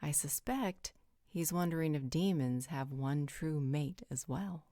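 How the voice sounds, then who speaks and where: A composed, gently teasing vampire woman acted by a woman in a roleplay drama. Her voice sits low, with a soft dark tone. It is recorded very dry. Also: a little slow, no echo. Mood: calm, reassuring. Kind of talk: roleplay audio drama acting.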